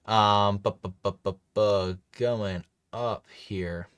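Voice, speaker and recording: A man's voice: on the low side, fairly even intonation, slow, a bit bright, smooth. A man hosting a casual live stream, chatting loosely with many fillers and restarts while reading chat. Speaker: livestream host.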